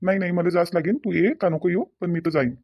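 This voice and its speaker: A man's voice: high-pitched, fast, gravelly, background noise. A man playing an earnest, dutiful husband and son in a cartoon, coaxing and repeating himself in a Varhadi Marathi accent from Vidarbha. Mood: burdened, insistent. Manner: repetitive, reasoning, persuasive. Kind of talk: cartoon dialogue. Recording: dull, lo-fi sound.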